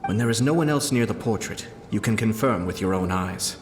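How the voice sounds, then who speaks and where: A composed young noble lord from a video game played by a man, with a fairly low voice. He speaks formally and deliberately, calm and calculating, with clear music playing behind him. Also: close to an everyday voice. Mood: calm, knowing. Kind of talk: video game character dialogue.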